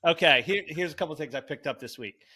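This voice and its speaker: A man's voice: a little high, fast, pushed and bright, husky. A man co-hosting a podcast, telling stories in a rambling casual way with many fillers and self-corrections. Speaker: podcast co-host. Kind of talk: casual chat.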